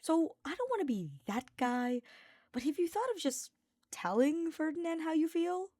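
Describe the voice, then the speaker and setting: Woman voicing a smirking, lively young male knight. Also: very high-pitched, normal speed, dry recording, clean studio sound, voice pushed up. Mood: playful teasing. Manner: smirking, casual, slangy. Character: cocky, teasing young male knight, playfully nosy. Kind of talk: audiobook character voice.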